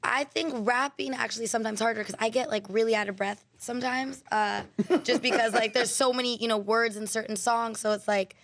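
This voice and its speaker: A woman in her twenties from Los Angeles, a guest rapper with a raspy voice that is partly worn out, giggling and saying "like" a lot in casual chat. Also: on the high side, steady tone, fairly quick, a bit bright. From Los Angeles, California. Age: 20s. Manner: giggly, lots of likes and ums. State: losing her voice. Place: radio studio. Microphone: studio mic with headphones.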